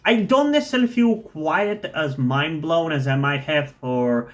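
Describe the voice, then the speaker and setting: A man's voice: a little high, animated, unhurried. A non-native English-speaking man giving a thoughtful, rambling reaction to a song, full of fillers and restarts. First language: not English. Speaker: music reactor. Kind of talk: casual music reaction commentary.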